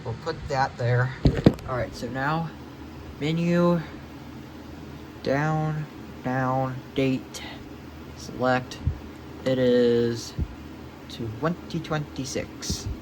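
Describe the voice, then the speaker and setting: Man, slow halting muttering, thinking aloud, loud background hiss. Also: mid-range voice, steady tone. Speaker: hobbyist vlogger unboxing a weather radio. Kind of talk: muttering to himself while setting up a device.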